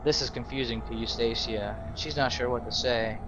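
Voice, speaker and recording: A man hosting a game role-play, improvising narration and haltingly searching for his words. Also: mid-range voice, fairly even intonation, muffled recording. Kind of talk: improvised storytelling over a game. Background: game music.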